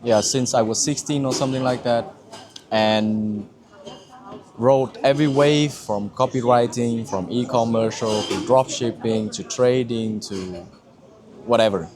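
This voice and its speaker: A man's voice: medium pitch, fairly even intonation, measured pace, a crowd in the background. A man, giving a candid testimonial with frequent restarts as he searches for words. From Vietnam. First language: Vietnamese. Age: 20s.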